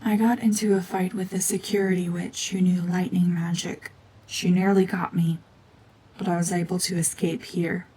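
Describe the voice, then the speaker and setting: A woman voicing a cold, mean renegade gorgon witch in a hushed, half-whispered ASMR drama, domineering and sardonic. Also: fairly low pitch, level tone, moderate pace, a little hiss or hum, lightly acted, low-quality recording. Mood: guarded, grim.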